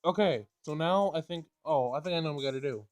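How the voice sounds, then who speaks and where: A man doing a casual game playthrough, reading dialogue aloud and thinking out loud in informal, slangy language. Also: fairly high pitch, quick pace, dry recording. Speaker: let's play gamer.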